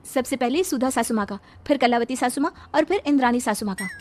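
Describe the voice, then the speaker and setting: Woman playing a flustered young daughter-in-law, high voice. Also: fast talker, darkish tone, close to an everyday voice. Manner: hesitant, placating.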